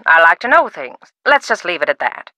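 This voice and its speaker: A secretive, theatrical old film star from a mystery game. She speaks in a grand, teasing way with bright tone and very animated pitch. The sound is dry and close. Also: a little fast, no echo. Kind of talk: video game voice acting.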